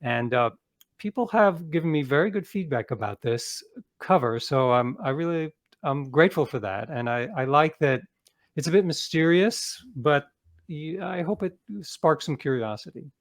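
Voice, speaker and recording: A man's voice: fairly high pitch, animated, moderate pace. A man speaking casually as an author being interviewed.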